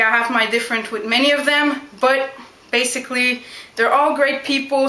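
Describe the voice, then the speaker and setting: Bright-voiced young woman, chatty. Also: fairly high pitch. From Montreal, Quebec, Canada. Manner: chatty, direct, keeps asking "you know what?". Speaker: backyard wrestler. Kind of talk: casual Q&A vlog. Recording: echoey room.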